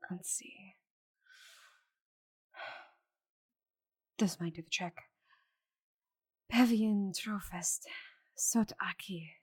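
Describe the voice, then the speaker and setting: Casting: proud giant she-dragon voiced by a woman for ASMR roleplay. Breathy, slow-paced, condescending, flustered and muttering to herself. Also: fairly low pitch, darkish tone, exaggerated voice. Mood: anxious, muttering. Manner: haughty, exasperated, condescending endearments, muttering to herself. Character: proud stubborn giant female dragon, flustered while shrinking.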